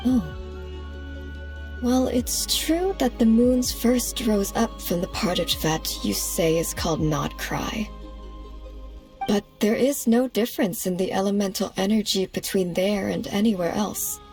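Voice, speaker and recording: A woman voicing a composed, solemn goddess in an anime game, speaking in a measured, formal way. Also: medium pitch, darkish tone, soft background music, lightly acted. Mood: thoughtful. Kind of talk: anime game cutscene acting.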